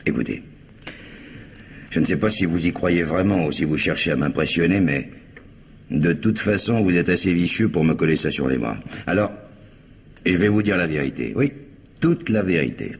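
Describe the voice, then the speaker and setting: An actor playing a streetwise, self-justifying ex-convict protesting under police questioning in a French radio crime drama. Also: male voice, sits low, lively intonation, normal speed, long pauses, slight background noise. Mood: resigned, insistent. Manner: slangy, protesting, emphatic.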